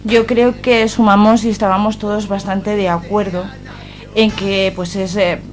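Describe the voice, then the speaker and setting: A woman's voice: mid-range voice, level tone, unhurried, faint music behind. A woman with an accent from Spain, an activist guest in a radio interview, speaking conversationally in hesitant, piecemeal phrases. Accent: Spanish from Spain.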